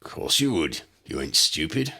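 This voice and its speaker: A man voicing a gruff, shady middle-aged wizard dealer who talks in rough slang. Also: a bass voice, big pitch swings, unhurried, sharp tone, heavily acted. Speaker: voice actor.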